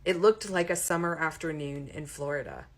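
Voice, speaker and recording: A woman reading a romantic comedy story aloud in an expressive audiobook narration style. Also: sits low, moderate pace.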